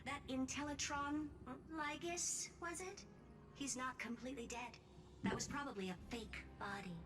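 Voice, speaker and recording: A woman's voice: sits high, moderate pace, close to an everyday voice. A woman playing a self-assured, blunt genius scientist in a video game, airy and playfully condescending. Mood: analytical, wry. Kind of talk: game character dialogue. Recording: music behind the voice.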